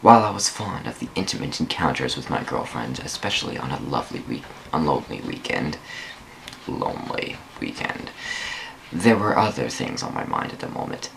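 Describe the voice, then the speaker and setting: A man's voice: very deep voice, sing-song, moderate pace, creaky, a bit bright, slight background noise, poor audio. A man giving a theatrical dramatic reading of a first-person story, drawing out words for emphasis. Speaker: amateur dramatic reader of online fan fiction.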